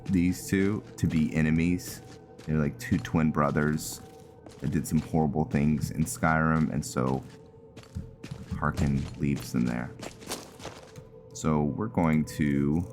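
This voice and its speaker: Very deep-voiced man gaming, music behind. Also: fairly even intonation, takes it slowly, long pauses. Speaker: gaming content creator. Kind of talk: casual roleplay game commentary.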